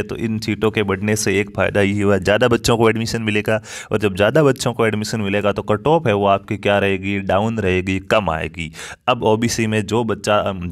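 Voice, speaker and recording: A man informally explaining education news like a teacher, reading out and commenting, repeating points and addressing viewers directly. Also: fairly low pitch.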